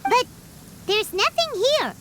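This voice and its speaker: A woman voicing a tiny, excitable, easily scared childlike fairy companion in an anime video game. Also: slow pace, a little hiss or hum, exaggerated voice. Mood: curious, uneasy. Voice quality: squeaky, childlike. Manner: exclaiming, refers to self in third person.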